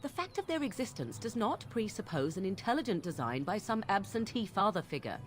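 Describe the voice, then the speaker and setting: A woman's voice: medium pitch, sing-song, brisk, a little hiss or hum, close to an everyday voice. An actress playing an aloof, sardonic young witch who speaks coolly and precisely. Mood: scornful. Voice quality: cool, disdainful, precise.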